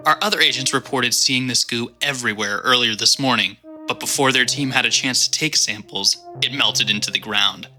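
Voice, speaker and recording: A man voicing a stern, proud male squad commander who talks in a gruff, commanding way. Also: medium pitch, a little fast, few pauses, pushed and bright, faint music behind.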